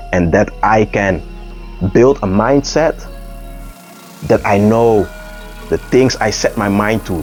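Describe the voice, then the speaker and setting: A man, speaking earnestly and haltingly in a personal reflective monologue, often pausing to search for words. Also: fairly low pitch, darkish tone. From Netherlands. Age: 30s. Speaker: dancer and artist. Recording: dull lo-fi recording with faint music. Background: faint background music.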